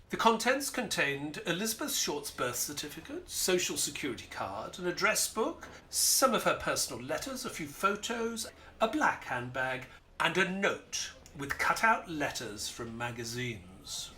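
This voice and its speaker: An actor playing a learned, earnest doctor who is a detective's sidekick and narrator in an audio play, precise and explanatory. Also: male voice, a little high, sharp tone, a little hiss or hum. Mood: measured storytelling.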